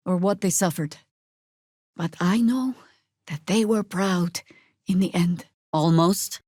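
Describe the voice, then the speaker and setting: An actress playing a seasoned, middle-aged druid warrior woman in a fantasy video game, commanding and wry, with a dry, deliberate delivery. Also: fairly low pitch, darkish tone, no echo. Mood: solemn.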